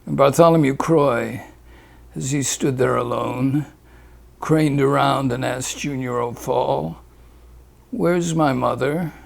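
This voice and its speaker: A man's voice: mid-range voice, fairly even intonation, vocal fry. A man reading his own rhyming fable aloud in a playful storybook manner, putting on voices for the characters. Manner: slow, playful reading that leans into the rhymes.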